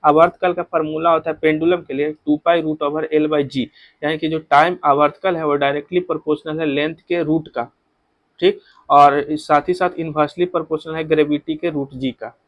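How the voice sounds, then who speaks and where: Bihari-accented male coaching teacher, higher-pitched voice. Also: level tone, normal speed, no room sound, muffled recording. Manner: repetitive, instructive, code-mixing English technical terms. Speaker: exam coaching physics teacher. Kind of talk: explanatory exam-prep lecture.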